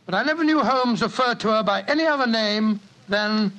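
A genial English physician with a notably high voice for a man. He tells his tale in old radio drama fashion, hesitating and exclaiming like a loyal, admiring companion. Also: fairly quick, bright, forceful tone, rough recording. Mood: genial, reminiscing. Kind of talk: 1940s radio acting, storytelling.

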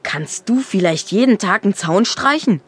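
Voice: mid-range voice, expressive, normal speed, slightly rough. A performer playing a cheeky, crafty young boy with a nonchalant, sly manner in a German children's audio drama. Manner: nonchalant, sly, feigning innocence.

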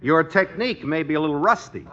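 Cocky needling sidekick, brash bright voice on the high side, muffled old radio comedy. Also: male voice, expressive, quick pace. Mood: mocking. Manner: dry teasing, punchy putdowns. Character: cocky, wisecracking male best pal, needling and smug. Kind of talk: 1950s radio sitcom acting before a live audience. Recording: muffled vintage radio recording.

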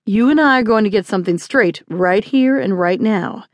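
A woman's voice: mid-range voice, normal speed, no echo, muffled recording, natural voice. A woman voicing a stern, commanding male frontier marshal in audiobook dialogue, firm and irritable. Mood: firm, controlled.

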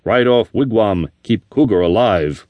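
A big, hearty old buffalo hunter, read by a man, speaking earnestly in short, broken phrases. The storybook delivery is slow, with the pitch rising and falling a lot. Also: lively intonation, no room sound, dull audio. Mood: earnest boasting. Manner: earnest, broken pidgin talk.

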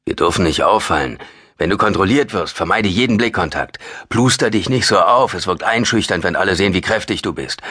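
A man's voice: deep voice, animated, fairly quick, bright, forceful tone, dry recording, studio quality, heavily acted. A man voicing a short, chatty, paranoid homeless man in an audiobook, rambling insistently and nervously. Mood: urgent, instructing.

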